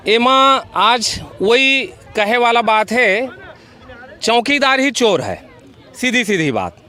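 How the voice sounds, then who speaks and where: High, bright, emphatic Chhattisgarhi man. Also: very animated, slow, some chatter behind. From Chhattisgarh, India. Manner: emphatic, repeats phrases. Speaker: social activist, NGO worker. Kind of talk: impassioned interview answers.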